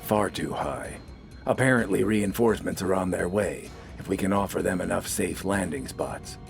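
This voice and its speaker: A man voicing a gruff, scornful army corporal in a science fiction story, battle-weary and heavily ironic. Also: sits low, moderate pace, faint music behind. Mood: grim. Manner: sardonic, heavily ironic, barking. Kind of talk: dramatized science fiction audiobook dialogue.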